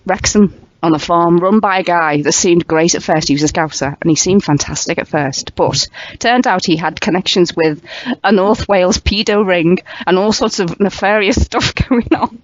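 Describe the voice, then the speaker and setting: A woman's voice: fairly low pitch, normal speed, dry recording. A chatty woman with a light Merseyside accent tells stories and laughs often as she hosts. The recording is dry and dull, with a lo-fi sound. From England, Wirral. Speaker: radio show host. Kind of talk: casual interview chat.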